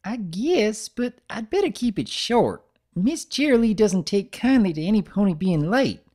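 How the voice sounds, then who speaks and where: Man doing a high, chirpy little girl pony. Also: very animated, moderate pace, darkish tone. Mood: eager, helpful. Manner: chirpy, folksy. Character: cheerful little girl pony, eager schoolgirl.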